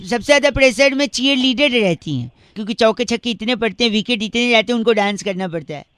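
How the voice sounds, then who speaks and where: High, cheeky boyish prankster. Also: male voice, fast talker, a bit bright, no room sound. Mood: earnest explaining. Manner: pestering, mock-polite, rambling. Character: cheeky, naive, pestering boy prankster with absurd theories. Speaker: radio prank caller. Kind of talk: comic prank call patter.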